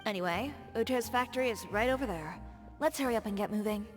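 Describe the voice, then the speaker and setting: A woman voicing a poised, shrewd fashion designer who talks calmly and confidently. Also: on the high side, close to an everyday voice. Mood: composed. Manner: calm, measured, confident. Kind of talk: game dialogue. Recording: game audio with music behind.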